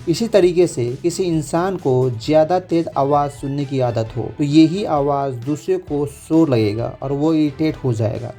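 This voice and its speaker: Indian guy doing a scripted explainer, soft mellow tone, bit high, music behind. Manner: didactic, code-switching into English terms. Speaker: presenter, lawyer explaining public issues.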